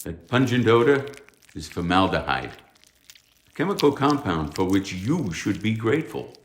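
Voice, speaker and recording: An actor playing a stern, pompous anatomy professor, speaking formally and like a lecturer in a theatrical read. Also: male voice, lowish voice, very animated, a little slow, echoey room. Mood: formal lecturing. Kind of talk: theatrical table read of a stage musical.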